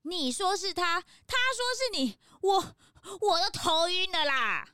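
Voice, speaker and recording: A woman doing an eager detective's assistant in a children's audio drama, very high and bright with a lively, bouncing delivery. She fusses and jumps in eagerly, with soft music behind. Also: unhurried, no room sound, studio quality, heavily acted. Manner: eager, fussing.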